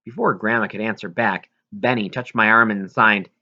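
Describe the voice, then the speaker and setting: A man reading a first-person story aloud in an expressive, earnest way, now and then stumbling. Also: fairly low pitch, moderate pace, dull audio. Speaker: audiobook reader.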